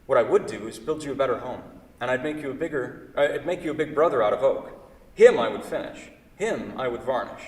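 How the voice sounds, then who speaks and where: A man's voice: medium pitch, animated, fairly quick, natural voice. A man in a staged play reading, acting a wordy, fretful furniture craftsman in love with his own creation, often correcting himself. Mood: tender, wistful. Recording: echoey hall, far from the mic.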